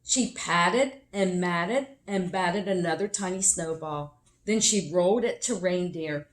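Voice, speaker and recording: A woman reading a picture book aloud expressively for children, with deliberate emphasis. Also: fairly low pitch. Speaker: children's story reader.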